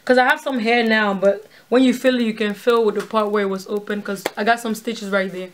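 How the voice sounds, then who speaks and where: A woman in her twenties in a casual couple challenge video, chatty and quick to laugh, filming in a small room. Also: medium pitch, steady tone, slight background noise. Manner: chatty, filler-heavy, laughs easily. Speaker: co-host.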